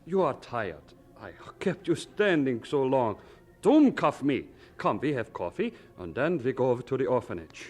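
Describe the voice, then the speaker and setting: An actor playing a kindly older German doctor in an audio drama, jovial and fussing, with a German accent and stilted phrasing. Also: male voice, mid-range voice, big pitch swings, normal speed, darkish tone, soft background music. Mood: concerned, fatherly.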